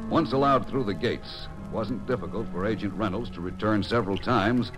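A man's voice: lowish voice, a little fast, music in the background, natural voice. An American man playing a sober federal investigator, narrating a radio crime drama in a formal, matter-of-fact way. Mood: sober, official. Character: sober, official male FBI investigator narrating case. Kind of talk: scripted 1940s-50s radio crime narration.

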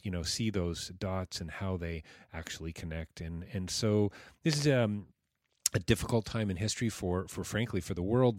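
A man with a very low voice hosts an interview show, stumbling through restarts and fillers as he talks. The sound is dry and close, with no room around it. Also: no echo. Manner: halting, many restarts and fillers. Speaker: podcast host. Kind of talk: casual interview with promo reads.

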